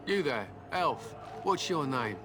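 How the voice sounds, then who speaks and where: An actor playing a brusque, impatient soldier in a video game, curt and commanding. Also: male voice, fairly high pitch, very animated, slow, heavy background noise, heavily acted. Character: brusque, impatient male soldier, scornful of elves. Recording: loud ambience with barking dogs behind.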